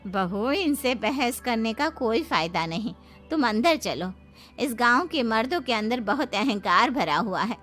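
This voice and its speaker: Woman voicing a gentle older mother-in-law. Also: on the high side, expressive, measured pace, soft background music. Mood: soothing. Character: submissive, soothing older village mother-in-law. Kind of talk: Hindi cartoon story dialogue.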